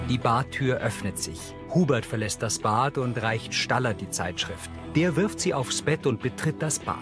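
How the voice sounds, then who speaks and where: A man narrates on-screen action as an audio describer, with a mid-pitched voice and a matter-of-fact delivery. Music plays clearly behind him. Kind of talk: scripted audio description narration, present tense.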